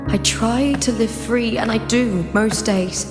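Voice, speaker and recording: A woman's voice: fairly high pitch, fairly even intonation, close to an everyday voice. Woman doing a proud young warrior heroine from a game, earnest n solemn, takes her time, big music all over it. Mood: ashamed, brooding. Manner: solemn, earnest, measured monologue with weighty pauses. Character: proud young female champion warrior, burdened by doubt, defiant. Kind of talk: dramatic video game voice acting. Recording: loud music behind the voice. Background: loud game soundtrack music.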